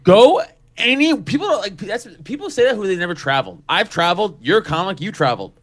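A man's voice: high-pitched, very animated, fast. A man chatting casually on a podcast, blunt and emphatic, swearing constantly.

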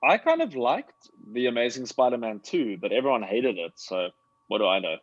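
Husky man with very lively pitch. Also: fairly quick, no echo, lo-fi sound. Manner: animated, casually opinionated. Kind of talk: casual chat.

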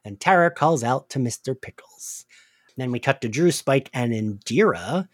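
Guy co-hosting a recap podcast, really animated pitch, dry studio-ish sound, chatty and casual. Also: moderate pace, no echo. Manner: conversational, frequently laughing, quoting own reactions. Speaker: podcast co-host.

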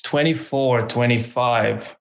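Guy, photographer guest, slow and hesitant, keeps restarting. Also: medium pitch, fairly even intonation, gravelly. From Finland. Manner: hesitant, many restarts and fillers. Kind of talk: casual interview talk.

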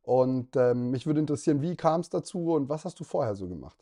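Mellow-voiced male podcast host. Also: medium pitch, lively intonation. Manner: polite, measured questions. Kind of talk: interviewing a guest.

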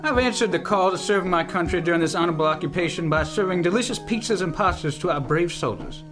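A man acting a pompous, folksy older general who runs a pizza place, halting and self-important, in a comic radio play. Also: sits high, lightly acted. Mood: confiding, solemn. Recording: music under the dialogue.

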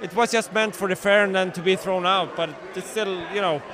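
High-voiced Swedish man in busy bar. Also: fairly even intonation, crowd noise, noisy background. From Sweden. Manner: chatty, halting restarts. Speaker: guest, toy collector. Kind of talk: casual chat. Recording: crowded bar background.

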